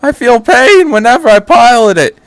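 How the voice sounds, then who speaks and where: Man putting on a whiny, high-pitched teenage boy. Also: animated, no room sound. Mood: whiny, mocking. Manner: mocking, drawn-out complaining. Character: whiny, self-pitying teenage boy mecha pilot.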